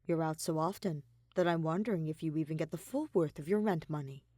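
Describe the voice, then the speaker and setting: A woman's voice: low-pitched, a little fast, warm tone, no room sound, studio quality. A woman voicing a cool, pedantic young male scholar in a story, speaking in a clipped, composed, petty way. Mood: clipped, defensive.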